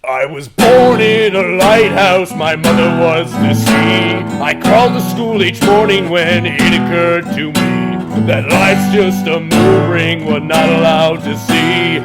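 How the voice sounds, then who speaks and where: A woman's voice: fairly low pitch, slow pace, few pauses, over loud music, rough recording. A woman giving a dramatic reading of song lyrics. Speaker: performer.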